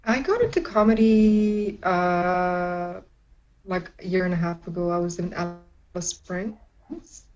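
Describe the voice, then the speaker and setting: Young woman comic from Pakistan; casual, giggly chat; lower pitch; muffled lo-fi recording. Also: slow, darkish tone. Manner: chatty, laughs often, many restarts. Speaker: stand-up comedian. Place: at home in isolation.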